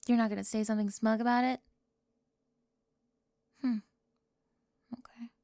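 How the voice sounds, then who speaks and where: Woman, mid-pitched, gravelly edge, dry close whispers, teasing roleplay delivery. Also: flat delivery, unhurried, no room sound. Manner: teasing, sharp-tongued, moving between whispers and soft speech. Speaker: ASMR roleplay performer.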